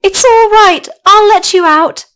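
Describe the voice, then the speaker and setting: A woman's voice: very high voice, measured pace, fairly bright tone, voice pushed up. A woman voicing an earnest, brave young girl in a storybook reading, with a childlike manner. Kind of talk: storybook character voice.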